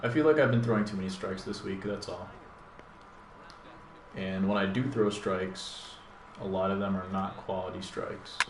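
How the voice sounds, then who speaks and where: A man with a deep voice hosting a gaming channel, thinking aloud in a laid-back, rambling way with plenty of fillers while he plays. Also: fairly even intonation, normal speed, long pauses. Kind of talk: casual live gameplay commentary. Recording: echoey room with background noise.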